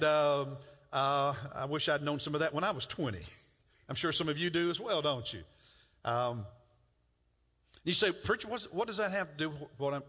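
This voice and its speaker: A man's voice: on the high side, a bit bright. A middle-aged man preaching a conversational sermon as a pastor, often repeating words as he works toward a point. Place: church sanctuary. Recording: muffled church hall with long reverb.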